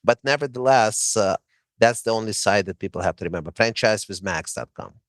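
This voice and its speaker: A man's voice: fairly low pitch, lively intonation, brisk, no room sound. A man with a Russian accent, a guest giving emphatic, coaching advice with many "uh" fillers. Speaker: guest, franchise owner. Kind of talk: casual interview chat.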